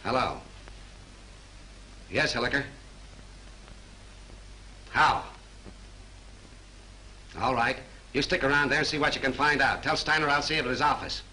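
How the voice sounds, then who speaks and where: Brisk, bright-voiced 1930s movie detective. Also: male voice, mid-range voice, poor audio. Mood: curt, probing. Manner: brusque, interrogating. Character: brisk, hard-boiled police lieutenant leading a murder inquiry. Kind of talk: 1930s film acting. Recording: hissy early 1930s film soundtrack.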